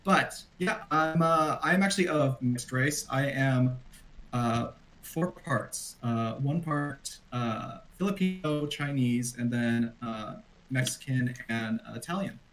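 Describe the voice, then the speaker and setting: Male panelist, mid pitch, hesitant and rambling, heard over a video call. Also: slow pace, a bit bright, poor audio. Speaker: drag performer, panelist. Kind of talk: casual online panel chat.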